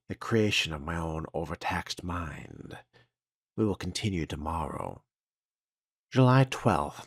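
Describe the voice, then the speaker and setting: A man playing a solemn, uneasy 1950s waterworks foreman, reading a written log in a grave, formal and measured manner. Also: deep voice, vocal fry, fairly bright tone, breathy edge, no room sound, studio quality, exaggerated voice. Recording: music behind the voice.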